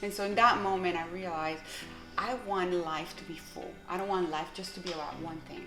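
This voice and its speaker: A woman's voice: fairly low pitch, fairly even intonation, fairly quick, reverberant, music in the background. A middle-aged woman pastor from Guatemala, a native Spanish speaker with a Guatemalan Spanish accent, giving heartfelt, encouraging advice point by point in an interview. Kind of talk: earnest interview answers.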